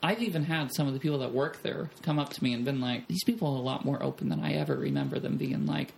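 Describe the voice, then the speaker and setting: A woman's voice: rumbling low, fast, creaky, slight background noise. A young woman telling frank stories in a casual chat, blunt and profane. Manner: blunt, profane, animated storyteller. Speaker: podcast guest. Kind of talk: candid storytelling chat.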